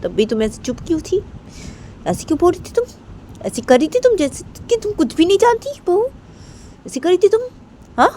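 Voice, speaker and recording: A woman's voice: mid-range voice, a little fast, natural voice. Woman playing a stern, scolding mother-in-law, faint noise behind. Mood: angry, accusing. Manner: hectoring, repetitive, punctuated by sharp questioning tags.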